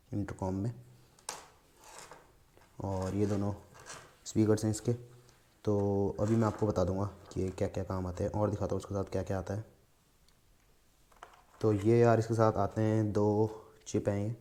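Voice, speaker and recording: A man's voice: on the low side, dark tone. A man giving a casual hands-on product demonstration in an informal, step-by-step explanatory manner. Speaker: vlogger reviewing a product.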